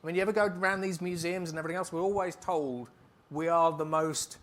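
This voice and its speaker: Brisk, emphatic male keynote speaker. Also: on the high side, fairly even intonation, a bit bright. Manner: brisk, emphatic, rattles off lists. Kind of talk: on-stage Q&A answers. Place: conference hall.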